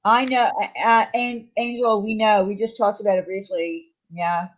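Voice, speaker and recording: A woman's voice: medium pitch, steady tone, normal speed, highs cut off. A woman hosting a livestream, chatting casually with viewers and responding to their messages. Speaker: livestream host. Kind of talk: casual chat with live viewers.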